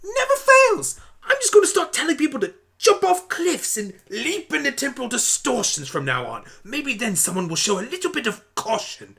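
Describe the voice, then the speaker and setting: A man voicing an excitable, brainy male pony time traveler, wordy and theatrical, in an amateur audio drama. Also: extremely high pitch, normal speed, vocal fry, few pauses, husky, pitched above the performer's own voice, heavily acted. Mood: exasperated.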